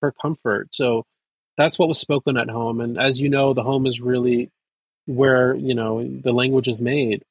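A man, raised speaking Persian at home, talking in an animated way with quick bursts and restarts as an interview guest. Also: medium pitch, breathy edge, dry recording, highs cut off. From United States. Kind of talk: casual interview.

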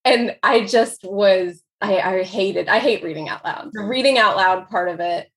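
A woman's voice: mid-range voice, measured pace, a bit bright. Chatty American woman who laughs often. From United States. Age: 40s. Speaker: author guest. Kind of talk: casual conversational interview.

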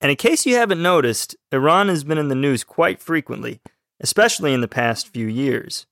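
Young texan guy learning along on a podcast, friendly even voice, dry close sound. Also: on the high side, normal speed, gravelly, no echo. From Texas, USA. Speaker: co-host, learner. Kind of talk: scripted podcast lesson.

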